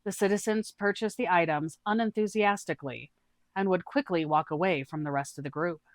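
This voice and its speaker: A woman's voice: lowish voice, fairly quick, no room sound. A woman storyteller reading a scripted fantasy adventure tale as narration.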